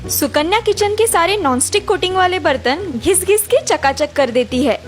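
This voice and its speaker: A woman's voice: very high voice, expressive, moderate pace, faint music behind. A woman reading scripted narration for a cartoon family story.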